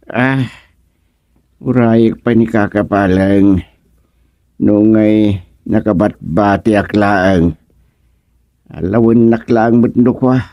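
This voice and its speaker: A slow, grumbling Ilocano village husband. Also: male voice, fairly low pitch, dry recording, muffled recording. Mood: resigned. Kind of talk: Ilocano radio comedy drama acting.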